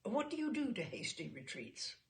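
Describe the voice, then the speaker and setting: A woman's voice: fairly high pitch, big pitch swings, moderate pace, slight background noise. A woman reader playing a formal, courteous, methodical examiner who questions a witness in a comic story. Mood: brisk, probing.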